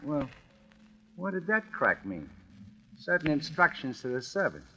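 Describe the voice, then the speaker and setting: An actor playing a plain-spoken, suspicious working-class American man in an old radio drama, with a husky, colloquial way of talking. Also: on the high side, huge pitch swings, measured pace, bright, forceful tone, slight background noise, full character voice. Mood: suspicious, grumbling. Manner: blunt, colloquial. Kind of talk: 1940s radio drama acting. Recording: muffled old radio recording, faint music.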